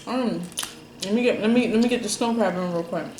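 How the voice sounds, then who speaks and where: A chatty woman from Jamaica hosts a food video, talking at an everyday middle pitch. She rambles loosely with plenty of fillers as she tells her stories. The room sounds echoey, with faint noise in the background. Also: a little fast, a little mellow. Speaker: mukbang host. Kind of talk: casual chat while eating.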